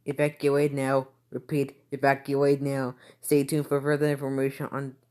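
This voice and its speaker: An emergency alert announcer reading a scripted warning in a formal, flat manner. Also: medium pitch, normal speed, clean tone.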